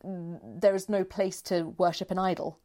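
Woman chatting casually, bit lower pitched, keen and conversational. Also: level tone, normal speed, mellow. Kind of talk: casual discussion.

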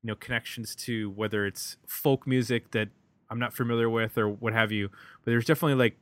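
A man with an American accent casually discussing an album as a podcast host, halting and reflective as he thinks aloud. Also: mid-range voice, fairly even intonation, a bit bright, no echo. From United States.

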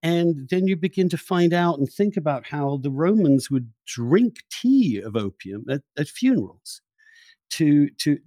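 A man's voice: fairly high pitch, sing-song, unhurried, warm tone, no room sound. A man hosting a reflective long-form interview, searching for his phrasing and often repeating words as he thinks aloud. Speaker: interviewer, podcast host.

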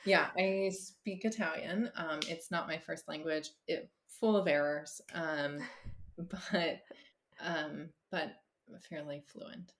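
A woman's voice: low-pitched, fairly even intonation, slow pace, a little hiss or hum. A woman therapist, a podcast guest, talking casually with many fillers, restarts and easy laughs. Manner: laughs easily. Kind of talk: casual conversation.

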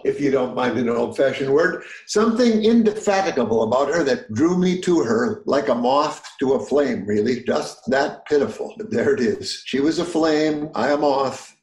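A man's voice: medium pitch, expressive, darkish tone, close to an everyday voice. Actor as an earnest smitten man, echoey room. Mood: wistful, smitten. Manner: wordy, old-fashioned, poetic, halting when flustered. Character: earnest, smitten older man wooing a prickly woman. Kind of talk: romantic comedy audio play acting.

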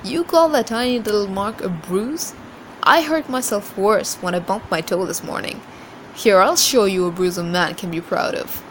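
A woman's voice: mid-range voice, moderate pace, close to an everyday voice, rough recording. A blustering fighter acted by a woman brags and teases good-naturedly in a fantasy storybook. The recording has noticeable background noise. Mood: scornful bragging. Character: gruff, bragging male warrior companion, good-naturedly scornful.

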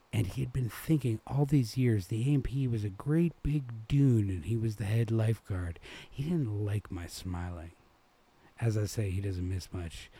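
A man from New England narrates literary fiction. His voice is low and dark, with a husky grain, and he reads expressively. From New England, United States. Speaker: reader.

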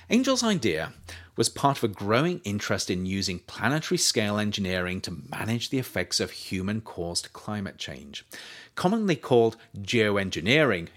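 A man reading his own nonfiction book aloud as an audiobook, in a measured, steady delivery. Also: on the low side. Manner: measured, animated intonation. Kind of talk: audiobook narration.

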